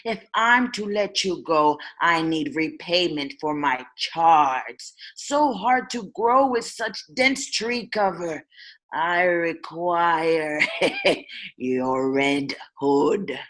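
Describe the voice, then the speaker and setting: Slow, whimsical goblin played by a woman. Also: low-pitched, lively intonation, fairly bright tone, heavily acted. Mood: theatrical, coveting. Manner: sing-song baby-talk rhymes. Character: mischievous green goblin gardener, rhyming and whimsical. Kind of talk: comic radio play acting.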